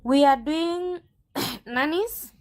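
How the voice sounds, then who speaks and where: A woman's voice: sits high, very slow, darkish tone. A woman working as a recruitment agent, answering an interviewer defiantly and pressing her own questions back at him. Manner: defiant, insistent, repeats challenges.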